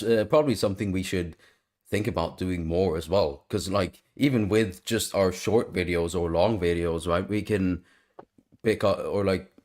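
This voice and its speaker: Man, very low voice, rambling, casual, lots of fillers. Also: a little mellow. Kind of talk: casual chat. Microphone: video call.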